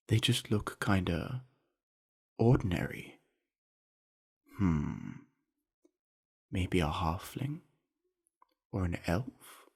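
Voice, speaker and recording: A man playing a friendly, earnest young orc university jock in an ASMR roleplay, chatting easily in a gravelly, husky voice with musing pauses. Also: low-pitched, heavily acted. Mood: curious musing. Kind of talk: scripted ASMR roleplay addressed to the listener.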